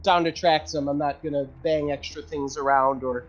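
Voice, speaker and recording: Higher-pitched man playing a tabletop game. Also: steady tone, moderate pace, lo-fi sound. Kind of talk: casual in-character table talk. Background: background music.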